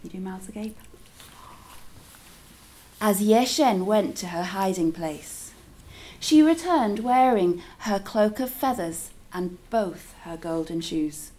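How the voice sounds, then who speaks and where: Woman, storybook narrator for children. Mid-range pitch, deliberate and dramatic, stretches key words. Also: lively intonation, warm tone. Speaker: teacher reading a story aloud to children.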